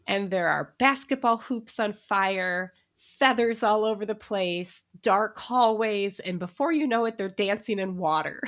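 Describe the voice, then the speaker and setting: A woman's voice: medium pitch, unhurried, few pauses, a bit bright. Chatty woman podcast co-host. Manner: chatty, laughs often between remarks. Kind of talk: casual chat.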